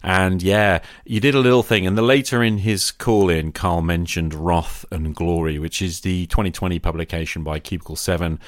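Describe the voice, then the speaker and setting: A man's voice: deep voice, steady tone, normal speed, few pauses, dry recording, studio quality. A man speaking as a podcast host about tabletop roleplaying, addressing the listener directly and encouragingly.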